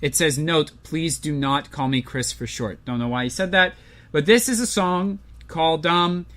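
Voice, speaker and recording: A young man co-hosting a podcast in casual chat, talking quickly with dry, deadpan sarcasm. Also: a little high. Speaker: podcast co-host.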